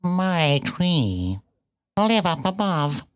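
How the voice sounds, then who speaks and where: A woman's voice: lowish voice, sing-song, drawn-out words, no room sound, clean studio sound, pitched above the performer's own voice, full character voice. A woman voicing a battered, friendly ginger tomcat in a children's story, biting off short phrases and spitting them from the side of the mouth. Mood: gruff, welcoming.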